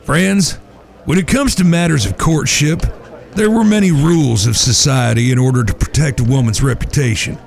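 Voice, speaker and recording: A wry eldest brother from an Old West radio play acted by a man. His voice is somewhat high with a gravelly creak, and he talks in a folksy, mock-formal, teasing way. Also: moderate pace. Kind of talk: Western audio drama acting.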